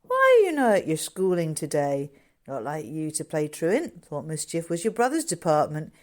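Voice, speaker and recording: A woman reads as a gruff young zookeeper in a storybook. He talks bluntly and colloquially, admonishing like someone who means well. His scolding has a kindly core. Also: low voice, wildly swooping pitch, moderate pace. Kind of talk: character dialogue in a novel reading.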